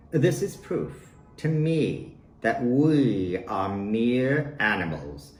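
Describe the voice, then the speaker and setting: A man reading his own poems aloud in deliberate, short declarative phrases with pauses between them. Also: mid-range voice, a little mellow. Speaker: poet reading own work. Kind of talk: spoken poetry reading. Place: at home in a residence. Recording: roomy home recording with faint noise.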